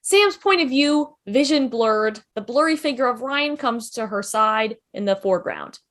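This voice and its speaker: Woman narrating script directions for a table read, higher pitched voice. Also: lively intonation, moderate pace, fairly bright tone. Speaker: stage directions reader.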